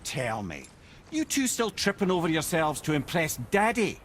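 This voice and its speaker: An actor playing a wise, witty and boastful captive sage in a video game, speaking in a wry, theatrical way. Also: male voice, a little high, huge pitch swings, normal speed, fairly bright tone, slight background noise. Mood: mocking. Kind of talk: dramatic game acting.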